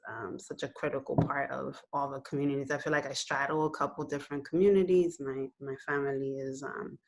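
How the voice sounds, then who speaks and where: This woman in her thirties speaks in a low register. She grew up in New York City and was born in Puerto Rico. She talks through her ideas slowly, filling pauses with "um" and "you know", over a webcam in her living room. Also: fairly even intonation, low-quality recording. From Puerto Rico, raised in New York City. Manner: thoughtful, many fillers like "you know" and "um"; gestures with her hands while talking. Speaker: filmmaker being interviewed. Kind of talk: reflective casual interview answers. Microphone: laptop webcam on a video call.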